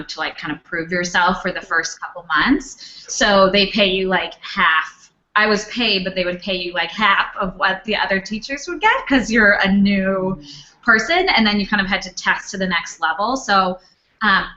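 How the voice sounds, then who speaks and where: A woman's voice: mid-range voice, moderate pace, pushed and bright. A young woman speaking casually on a Q&A panel, chatty with lots of fillers. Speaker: panelist, former English teacher. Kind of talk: Q&A panel talk. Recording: dull lo-fi recording.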